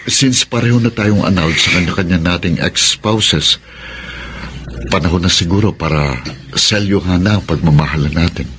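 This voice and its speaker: A man's voice: low-pitched, moderate pace, sharp tone, a little hiss or hum. A man with a Filipino accent playing an earnest, devoted suitor in a radio drama, speaking romantically. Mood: tender, hopeful. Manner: earnest, romantic, mixing English phrases.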